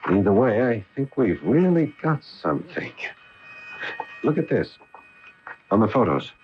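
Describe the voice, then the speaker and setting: Deep-voiced earnest astronaut commander. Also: male voice, big pitch swings, unhurried, faint music behind. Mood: intrigued, excited. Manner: earnest, dramatic, musing delivery. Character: eager male astronaut commander, awestruck and ambitious husband. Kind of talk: 1980 radio drama acting. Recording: dull, noisy 1980 AM radio recording.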